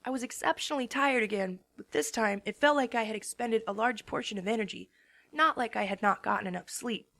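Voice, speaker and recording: A woman's voice: on the high side, a little fast, fairly bright tone, dry recording. Worn-out young pegasus girl voiced by a woman telling her tale, drowsy and plain-spoken. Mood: tired. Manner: first-person storytelling, drowsy. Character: tired tomboyish young female pegasus narrating her story. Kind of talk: first-person narration in a fan dramatic reading.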